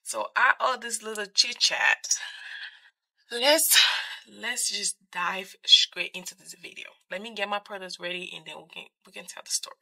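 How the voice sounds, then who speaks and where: A woman's voice: mid-range voice, fairly even intonation, normal speed, vocal fry, bright, forceful tone, no room sound, poor audio. Chatty young woman from Liberia, dry sound. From Liberia, West Africa. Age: young adult. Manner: informal, slangy, emphatic repetition. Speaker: vlogger. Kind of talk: casual chatty storytime while doing makeup. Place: small furnished bedroom, indoors.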